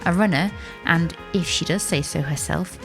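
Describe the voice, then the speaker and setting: A woman co-hosting a podcast in a studio, reading a guest introduction and chatting briskly. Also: fairly low pitch, gravelly, music in the background, rough recording. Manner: brisk, reads the guest bio then chats. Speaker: podcast co-host.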